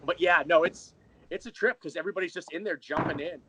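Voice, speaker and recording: A man's voice: a little high, fast, noisy background. A man speaking casually as an interview guest, explaining his creative work.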